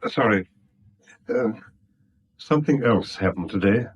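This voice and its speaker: An actor playing an uneasy, subdued English husband and bank manager in a 1970s radio drama. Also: male voice, lowish voice, animated, a little slow, husky, highs cut off. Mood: worried.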